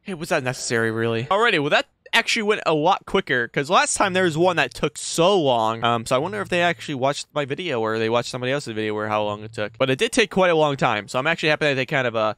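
A man's voice: medium pitch, lively intonation, quick pace, hardly any pauses, a bit bright, clear, resonant tone, no room sound. A young man giving casual, sweary, slangy reactions to a horror game, jumpy and exclaiming, on a close desk mic. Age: young adult. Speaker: gaming video host. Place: small indoor gaming room. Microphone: close desk microphone, headset on.

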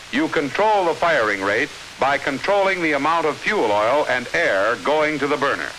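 Bright, animated 1950s American film narrator, male. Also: mid-range voice, poor audio. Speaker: narrator of a navy instructional film. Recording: hissy 1950s film soundtrack.

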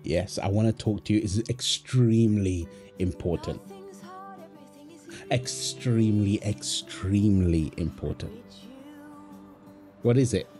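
A man's voice: fairly low pitch, takes it slowly, pauses often, warm tone, faint music behind. A man playing a tender, playful young husband and doting father in an ASMR roleplay, soothing and teasing as he talks. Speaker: voice actor of a roleplay audio. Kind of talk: ASMR boyfriend roleplay, acted one-sided dialogue.

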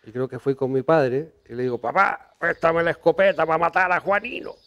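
A Chilean man in his fifties, a horse trainer, telling stories in an outdoor ranch interview, trailing off softly and restarting his phrases. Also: on the high side, animated, brisk, highs cut off. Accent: Chilean Spanish with Mexican influence. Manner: warm storyteller, many restarts, trails off softly. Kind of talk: storytelling interview. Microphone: lapel.

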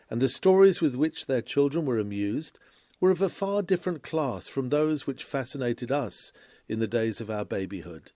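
Man, mid-pitched voice, formal sermon reading, oratorical, measured, dry close recording. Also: darkish tone, no room sound. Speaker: reader of a historical sermon. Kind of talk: sermon read aloud from a script.

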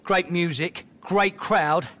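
A laid-back, cocky English club promoter for a video game. He talks in breezy slang with an English accent, his voice sitting a little high. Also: fairly even intonation, takes it slowly, fairly bright tone. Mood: confident, scheming. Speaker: DJ booker. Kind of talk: video game cutscene acting.

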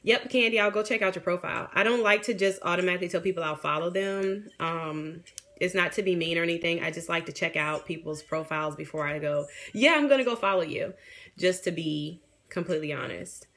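A woman in her thirties, a realtor, chatting frankly with viewers on a phone livestream, her voice a bit raspy from a sinus infection. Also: fairly low pitch, brisk, a bit bright. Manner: frank, chatty, reads out and answers viewer comments. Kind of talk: casual livestream chat with viewers. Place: small room indoors. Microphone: phone front camera at arm's length, neckband headset.

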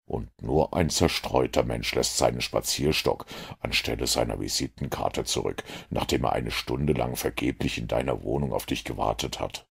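A man voicing a self-assured, analytical detective in an audiobook, with a gravelly, husky voice and a measured, lecturing manner. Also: a bass voice, full character voice. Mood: smug, assured. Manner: measured, didactic, superior. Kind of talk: audiobook character reading.

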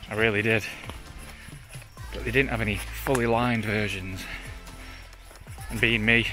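A man, casually reviewing outdoor gear while sitting outdoors in a wood, talking to a camera on a tripod. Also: fairly low pitch. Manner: chatty, relaxed, light joking asides. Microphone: camera on a tripod, also handheld selfie while walking.

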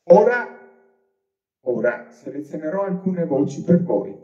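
A man's voice: a little high, sing-song, unhurried. A man demonstrating synthesizer presets, his voice run through a vocoder that makes it robotic and musical. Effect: vocoder, changing presets, robotic and musical. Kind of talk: product demo of vocoder presets. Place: indoor room with synth gear. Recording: dull lo-fi, synth tones throughout.

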